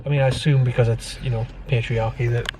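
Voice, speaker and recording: A man's voice: mid-range voice, little pitch movement, quick pace. A man chatting casually in a vlog, with hesitant, tentative phrasing. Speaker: vlogger's partner. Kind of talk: casual chat. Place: inside a car. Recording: slightly distant, faint background noise.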